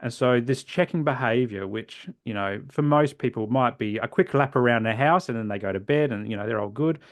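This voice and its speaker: An Australian man in his forties, a guest in a podcast interview, telling his own story earnestly and reflectively, often trailing off softly at the ends of phrases. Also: mid-range voice, a little fast, dry recording, studio quality. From South Australia. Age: 40s.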